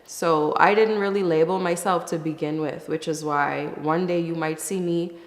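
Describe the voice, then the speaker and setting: A woman from the Bahamas giving casual, reflective interview answers in a thoughtful, candid way, with pauses as she thinks. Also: low voice, fairly even intonation. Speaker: interview guest, musician. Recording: echoey, reverberant room.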